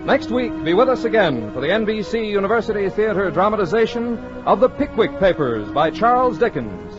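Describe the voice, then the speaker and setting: A man's voice: high-pitched, big pitch swings, brisk. 1940s American male announcer, scripted and formal, carefully enunciated, muffled old broadcast with faint music behind. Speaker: radio announcer. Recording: dull vintage 1940s radio broadcast recording.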